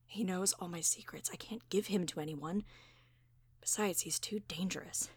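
Vengeful woman in a storybook tale, acted by a female narrator: low, hushed, breathy, grimly insistent. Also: moderate pace, dry recording, clean studio sound. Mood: secretive urgency. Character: exasperated, vengeful woman, grimly set on killing.

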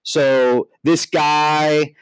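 A man's voice: fairly high pitch, fairly even intonation, drawn-out words, clean tone, dry recording, poor audio. A man hosting a podcast in casual, informal, slangy talk. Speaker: podcast host.

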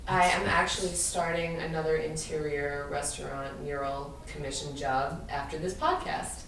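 A woman's voice: sits low, fairly even intonation, moderate pace, fairly bright tone. A young woman with a Brooklyn accent, chatting as a guest on a podcast and laughing often. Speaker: guest artist. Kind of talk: casual podcast chat. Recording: echoey room, distant mic, faint noise.

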